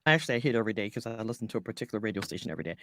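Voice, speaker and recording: A woman voiceover artist talking through her business in an interview, halting often and correcting herself mid-sentence. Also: very deep voice, lively intonation, fairly bright tone, no room sound, clean studio sound. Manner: halting, many restarts and self-corrections. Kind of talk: conversational business coaching interview.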